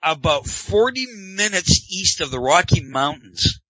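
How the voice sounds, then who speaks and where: Canadian man, sarcastic podcast host, bright tense voice, dry dull lo-fi sound. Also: high-pitched, sing-song, measured pace, slightly rough, no echo. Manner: sarcastic, joking. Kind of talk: casual chat.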